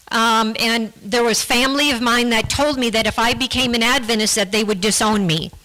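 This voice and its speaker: Church testimony, woman, bright and a bit high, earnest, stops and restarts often. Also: rough recording. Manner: earnest, with frequent restarts and repeated words. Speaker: guest speaker giving a testimony.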